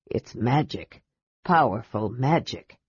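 A woman voicing a grumpy, weary old male dwarf who growls his words hoarsely, in a storybook reading. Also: low-pitched, animated, a little slow, dry recording, voice pushed down, exaggerated voice. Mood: hushed. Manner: growling, irritable. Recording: dull lo-fi recording.

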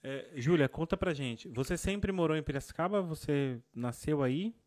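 A man's voice: a little high, animated, moderate pace, darkish tone, dry recording. Frank Brazilian man, dry sound. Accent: Brazilian Portuguese. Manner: frank, opinionated. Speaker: co-host. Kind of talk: casual interview chat.

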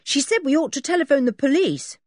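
A woman's voice: high-pitched, expressive, a little fast, darkish tone, no echo, clean studio sound, voice pushed up. A woman voicing an outspoken, cheeky young boy in a storybook. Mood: excited.

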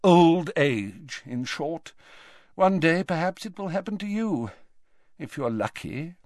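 A man voicing a weakened old wizard, calm, measured and wryly courteous. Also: fairly high pitch, dry recording, clean studio sound, voice pushed up. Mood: weary, wry.

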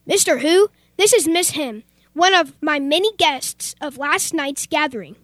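A woman's voice: high-pitched, lively intonation, measured pace, a bit bright. A young woman playing a fussy, easily irritated head of a household in a comic radio play, speaking formally. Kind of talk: comic old-time radio theater acting.

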